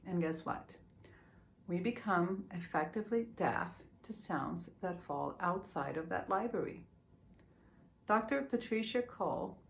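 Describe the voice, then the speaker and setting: Brazilian-accented woman, lowish voice. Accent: Brazilian Portuguese. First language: Portuguese. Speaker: speaker. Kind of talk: prepared talk.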